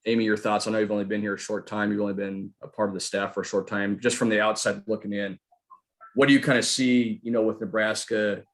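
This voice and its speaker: Quick-talking, wordy male podcast host. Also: on the low side, little pitch movement. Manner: wordy, rambling questions. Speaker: podcast host, sports reporter. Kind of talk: casual podcast interview.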